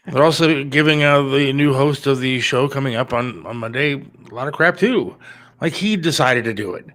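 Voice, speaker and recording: A man's voice: mid-range voice, moderate pace, fairly bright tone, no echo. A middle-aged man talking candidly and casually on a talk show, plain-spoken and a little defensive. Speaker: radio producer and talk show host.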